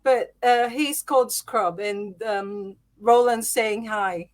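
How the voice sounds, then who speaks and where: A woman with a high voice hosts a live podcast. She sounds nervous and giggly and fills her sentences with "um." She talks over a video call. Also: slow. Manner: nervous, lots of ums, giggly. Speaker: podcast host. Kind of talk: casual live podcast hosting.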